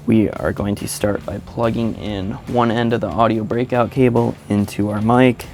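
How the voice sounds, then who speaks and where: Low-voiced man, casual tutorial. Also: creaky, darkish tone. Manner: informal, plain-spoken. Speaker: motovlogger presenting a how-to tutorial. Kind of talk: casual step-by-step instructional narration.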